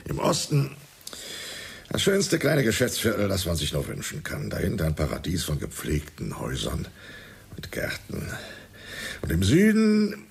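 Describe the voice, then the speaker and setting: An older actor playing a smug, corrupt police chief in a radio crime drama, gravelly and ironic. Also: male voice, low voice, wildly swooping pitch, exaggerated voice. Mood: smugly ironic. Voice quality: gravelly, husky. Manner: unhurried, mock-idyllic, chuckling irony.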